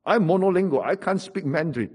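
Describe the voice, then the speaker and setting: A middle-aged preacher with a Singaporean English accent delivers a sermon, mixing wry humor into his storytelling. His voice sits a little high for a man and has a husky, slightly rough texture. He drives his points home through emphatic repetition. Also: expressive, quick pace, a little mellow.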